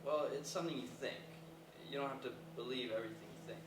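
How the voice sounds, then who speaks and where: An actor in a staged play reading, playing an earnest, hesitant, reflective young dropout in naturalistic dialogue. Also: male voice, mid-range voice, quick pace, natural voice. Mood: earnest, probing. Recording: echoey, distant, noisy live stage recording.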